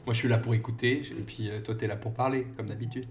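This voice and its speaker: Man, thirties, podcast co-host, rambling casual chat, laughs a lot, average pitch. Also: steady tone, quick pace, a little hiss or hum. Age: 30s. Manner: rambling storyteller, laughs often.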